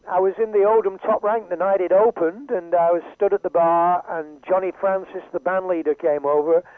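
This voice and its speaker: A man's voice: a little high, dry recording. Older man, chatty, over a phone line. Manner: lively, chatty, showman patter. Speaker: veteran radio DJ guest. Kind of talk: casual interview storytelling. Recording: dry tinny phone line.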